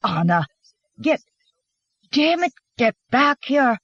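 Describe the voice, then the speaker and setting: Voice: mid-range voice, expressive, moderate pace, husky, dry recording, muffled recording. A narrator voicing an angry, stubborn Swedish husband. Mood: furious shouting. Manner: shouting, sarcastic. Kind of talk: dramatised audiobook dialogue.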